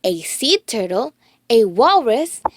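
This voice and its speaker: A woman reads a picture book aloud for young children. Her voice is high and swings expressively through the story. She takes it very slowly, with every phrase set out deliberately. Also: a little hiss or hum. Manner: animated, deliberate.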